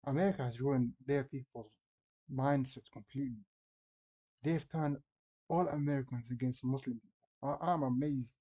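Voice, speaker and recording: A man's voice: mid-range voice, moderate pace, long pauses, mellow, husky, poor audio. A man giving casual, slangy, exclamatory commentary as a reaction video host.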